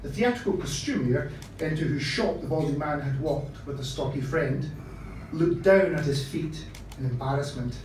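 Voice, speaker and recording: A man reading a short story aloud at a live event, in a deliberate, theatrical storytelling manner. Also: a little high, a little mellow. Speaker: reader of short fiction at a live literary event. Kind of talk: dramatic scripted story reading. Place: live event in a social room. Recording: echoey room, distant mic, faint noise.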